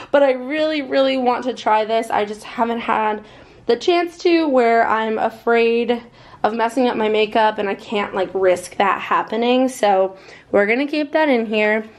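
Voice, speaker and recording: Chatty young woman with a slightly hoarse voice. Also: fairly high pitch. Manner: chatty, upbeat, fast-talking. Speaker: beauty vlogger. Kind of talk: casual makeup vlog.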